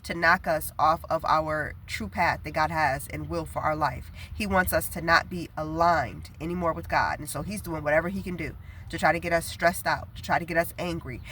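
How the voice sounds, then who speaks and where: A woman's voice: sits low, fairly bright tone, a little hiss or hum. Nasal-sounding woman with a head cold. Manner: chatty, earnest, rambling with fillers. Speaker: prophetic video preacher. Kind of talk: casual spoken prophetic message.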